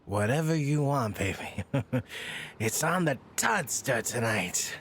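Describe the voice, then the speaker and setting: An actor plays a smug, flirty, sleazy man in an audio drama. The delivery is slow and swings widely in pitch, over faint background noise. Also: lively intonation, a bit bright. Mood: smug, excited. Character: smug, sleazy, flirty male client, self-important finance type.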